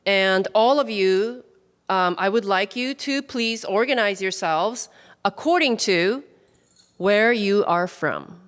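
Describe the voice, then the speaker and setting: A woman's voice: mid-range voice, slow, a bit bright, clear, resonant tone. A woman casually leading a group icebreaker in a large hall, chatty with many fillers. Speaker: workshop facilitator. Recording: hall reverb from a room mic.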